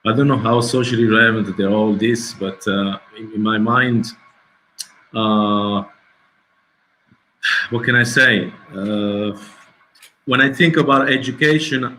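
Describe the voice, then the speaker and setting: A middle-aged man speaks over a video call in a lowish, bright voice. He halts often, restarting phrases as he hunts for words in English. A faint room hum sits behind him. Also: low-quality recording. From Italy. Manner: hesitant, searching for words, many restarts. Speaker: documentary filmmaker. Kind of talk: festival Q&A answer.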